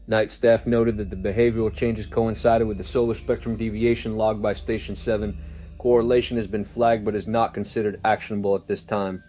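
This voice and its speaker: A man playing a clinical, detached senior scientist dictating a formal, measured log in an audio drama. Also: on the low side, close to an everyday voice. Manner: measured, deadpan, official.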